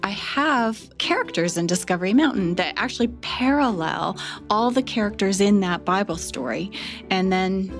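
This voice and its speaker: A woman's voice: mid-range voice, lively intonation, moderate pace. A woman explaining her creative process as a writer in an interview, earnest, with emphatic repetition. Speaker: audio drama writer. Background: faint music.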